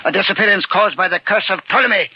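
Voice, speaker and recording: An actor playing a smug, cold-blooded killer with mock courtesy in a 1940s radio mystery. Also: male voice, high-pitched, fast talker, slightly rough. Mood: cold threat. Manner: suave, mock-courteous, sinister. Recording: muffled, noisy 1940s radio recording.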